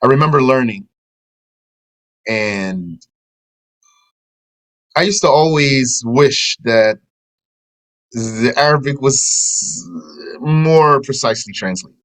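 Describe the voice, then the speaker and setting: A man teaching a religious lesson at an everyday, middle pitch. He reads a line of a translated text and then explains it at length, slipping Arabic terms into his English. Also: animated, takes it slowly, long pauses, a bit bright, rough recording.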